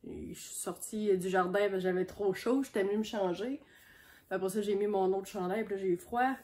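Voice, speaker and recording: A woman's voice: mid-range voice, fairly even intonation, moderate pace, darkish tone. A woman with a Québécois accent, chatting casually about her knitting while she has a cold, in a homespun, meandering way. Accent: Québécois French. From Quebec. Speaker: knitting vlogger on a sheep farm. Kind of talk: casual show-and-tell chat.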